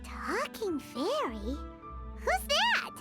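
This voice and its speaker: A woman's voice: very high voice, huge pitch swings, extremely slow, over music, heavily acted. A woman voicing a tiny, childlike fairy companion in a video game, curious, cheeky and given to exclamations. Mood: chirpy, curious. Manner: speaks of self in third person, exclaiming. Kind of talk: anime-style video game dubbing.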